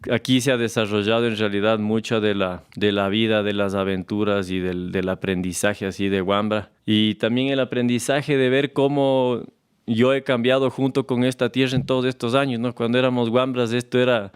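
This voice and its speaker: A man from Ecuador with an Ecuadorian Spanish accent, a farmer talking as a guest in a relaxed, unhurried way, often restarting and repeating words. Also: medium pitch, clear, resonant tone. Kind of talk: relaxed conversational storytelling. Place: farm, recorded on location.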